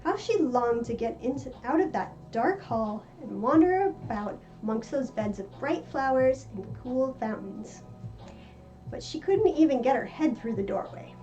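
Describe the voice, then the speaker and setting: High, lilting woman reading a storybook. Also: expressive, a little slow, mellow, soft background music, low-quality recording. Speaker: storybook reader. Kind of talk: expressive children's story read-aloud.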